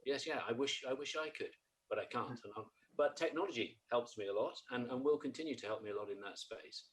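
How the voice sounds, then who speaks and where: A man's voice: mid-range voice, quick pace. Man on a career panel, chatty, pokes fun at himself, medium voice. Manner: self-deprecating, conversational.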